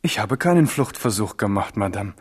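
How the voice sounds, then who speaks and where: A man's voice: fairly low pitch, lively intonation, moderate pace, lightly acted. An actor playing a wily young captive king in a German radio play, theatrical and intense, deferential on the surface and anguished underneath. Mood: slyly deferential.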